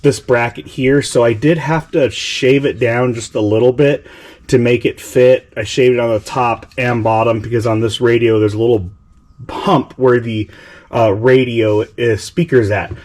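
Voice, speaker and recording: A man's voice: medium pitch, steady tone, normal speed, a little mellow. A man giving a casual, enthusiastic hands-on product walkthrough, speaking informally and a little hesitantly into an action camera. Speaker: vlogger demonstrating vehicle radio gear. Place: workshop, in and around a side-by-side vehicle. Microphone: handheld GoPro action camera.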